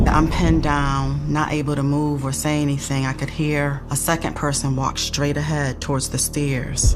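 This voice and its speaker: Woman retelling a traumatic night. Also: extremely low pitch. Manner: halting, emotional retelling in the present tense. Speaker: interviewee. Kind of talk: recounting a personal tragedy on camera. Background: soft music.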